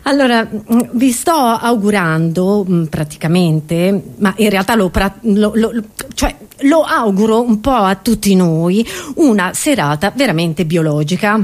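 A woman's voice: mid-range voice, lively intonation, a little slow, darkish tone. A woman performing a theatrical comic monologue to an audience, scatterbrained and exclamatory, often breaking off and repeating her words.

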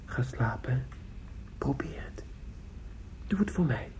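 A man's voice: medium pitch, expressive, a little slow, a little mellow, in a whisper, natural voice. An actor playing an anxious, tender young man in a radio drama, with an earnest, hesitant delivery. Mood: tender, worried. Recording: dull, noisy old radio drama recording.

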